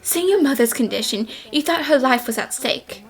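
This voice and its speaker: A woman voicing a confident, cheeky young female detective in an anime fan dub, brisk, smug and theatrical, with music underneath. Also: sits high, expressive. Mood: confident, accusing.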